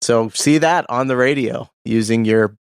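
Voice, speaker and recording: A man chats casually as a community radio co-host. He rambles in an offhand way at a medium pitch. The recording is very dry, with hardly any room sound. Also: a little slow, vocal fry, clean tone, no room sound. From Canada. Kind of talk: casual chat.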